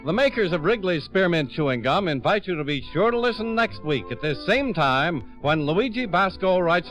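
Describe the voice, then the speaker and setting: An American man announcing on 1950s radio with a polished, deliberate sales delivery. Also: on the high side, fairly bright tone, low-quality recording.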